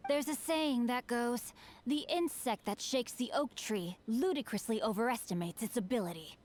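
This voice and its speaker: A woman's voice: on the high side, moderate pace, a little mellow, slight background noise, close to an everyday voice. A woman voicing an earnest, bookish young male swordsman who speaks in formal, old-fashioned phrases and quotes proverbs. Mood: defiant. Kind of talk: video game character dialogue.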